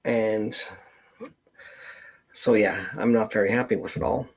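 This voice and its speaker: A man hosting a casual, unscripted live stream, speaking in a halting, hesitant way. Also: fairly low pitch, steady tone, breathy edge, poor audio. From Canada. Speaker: genealogist live stream host.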